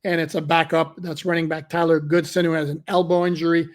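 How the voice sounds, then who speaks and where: A man's voice: fairly high pitch, little pitch movement, fairly quick. A middle-aged man hosting a solo sports podcast, talking conversationally with fillers and self-corrections, his voice cracking at times. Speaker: podcast host.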